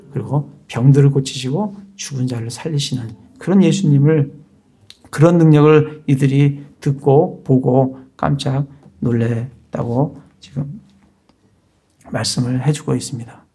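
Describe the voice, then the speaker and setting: A man preaches in a mellow, warm tone at an ordinary pitch for a man. He talks to the congregation like a conversation and keeps asking rhetorical questions. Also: unhurried, husky. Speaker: pastor. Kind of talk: sermon.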